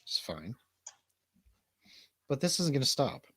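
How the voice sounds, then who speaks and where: A man's voice: medium pitch, very animated, slow, fairly bright tone, breathy edge, no room sound. A middle-aged man hosting a casual live stream in informal, sometimes sweary chat. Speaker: show host.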